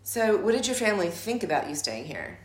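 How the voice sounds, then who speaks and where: A woman's voice: on the low side, very animated, brisk. Woman interviewer asking short questions. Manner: asks short plain questions. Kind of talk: oral history interview. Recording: echoey room.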